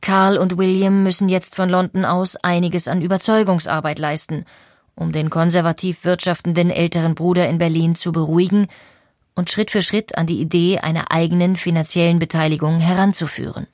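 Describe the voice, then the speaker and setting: A woman narrating a radio documentary in a calm, even way. Her voice sits a little low for a woman. The recording is close and dry. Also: fairly quick, no room sound.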